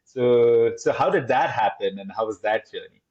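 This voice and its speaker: A man's voice: medium pitch, normal speed. A man hosting a podcast, asking long, winding interview questions. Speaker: podcast host. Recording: dull lo-fi audio.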